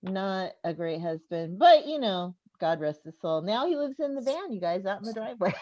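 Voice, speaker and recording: A woman's voice: mid-range voice, very animated, normal speed, darkish tone. A woman in her fifties performing stand-up comedy, talking conversationally to the audience and often chuckling at her own jokes. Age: 50s. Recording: dull, echoey live venue recording.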